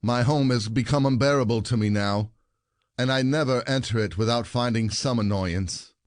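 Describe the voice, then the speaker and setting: A man's voice: medium pitch, normal speed, dry recording, clean studio sound, natural voice. A man playing a suspicious, bitter husband in a classic stage comedy, theatrical and probing. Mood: bitter, regretful.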